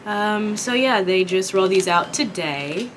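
A young woman from Kentucky chatting casually while eating, her voice raspy from a sore throat, rambling with many ums. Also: mid-range voice, expressive, a little slow, slight background noise. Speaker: vlogger. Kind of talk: casual mukbang chat. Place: small indoor room with dark curtain backdrop.

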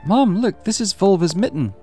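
A man's voice: high-pitched, lively intonation, normal speed, mellow, slightly rough, soft background music, pitched above the performer's own voice. A man voicing a frightened, pleading young schoolboy with an anxious, earnest manner in a visual novel. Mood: urgent pleading.

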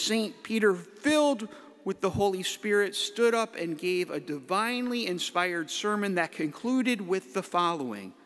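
A middle-aged man, a priest, giving a playful homily from the pulpit with the odd hype-man shout. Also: high-pitched. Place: large church. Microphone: pulpit mic. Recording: echoey church hall.

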